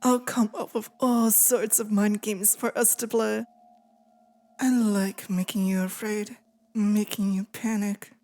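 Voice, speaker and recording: Actress as taunting she-demon, ASMR roleplay; mellow, unhurried, intimate and menacing, with music underneath. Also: medium pitch, level tone. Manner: slow, teasing, menacing murmur to the listener. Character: possessive, taunting female sleep paralysis demon.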